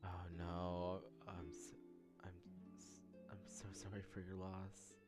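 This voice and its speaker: A woman's voice: rumbling low, flat delivery, takes it slowly. A woman giving casual, chatty playthrough commentary as a streamer, reacting sympathetically. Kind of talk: casual game playthrough commentary, reading along. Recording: loud game music behind.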